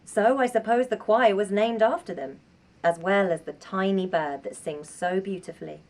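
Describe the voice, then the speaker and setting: A woman acting in a radio play as a kindly, brisk primary school music teacher who explains things in a teacherly way. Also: mid-range voice, a little mellow, far from the mic, close to an everyday voice. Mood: kindly, explanatory. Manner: clear explanatory teacherly delivery.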